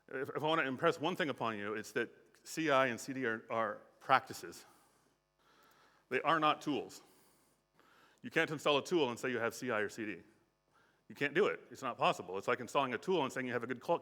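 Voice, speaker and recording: A middle-aged American man presents at a conference in a casual, unscripted way, stumbling over restarts and sprinkling in fillers. His voice is bright and forward, and a long hall reverb trails behind it. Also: medium pitch. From United States. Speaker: conference speaker. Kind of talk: conference talk.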